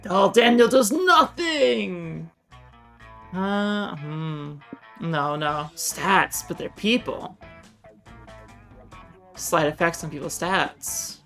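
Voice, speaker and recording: A woman's voice: on the low side, lively intonation, drawn-out words, gravelly, pauses often, a bit bright, faint music behind. A woman giving casual live commentary as she streams a game.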